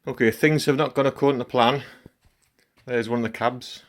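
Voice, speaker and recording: A middle-aged man with a croaky voice from a cold, chatting casually in a home vlog as he works and comments on it. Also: mid-range voice, steady tone, normal speed. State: hoarse from a cold. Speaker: gaming channel host.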